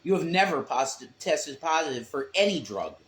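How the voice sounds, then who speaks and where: A man's voice: on the high side, normal speed. A man co-hosting a casual livestream, bantering emphatically with frequent swearing. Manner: emphatic, profane, lively banter. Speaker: streamer co-host.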